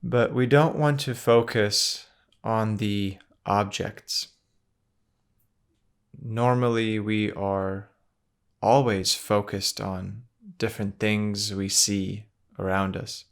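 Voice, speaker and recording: A man leading a guided meditation in a gentle, measured manner, with long pauses between phrases. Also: on the low side, steady tone, vocal fry.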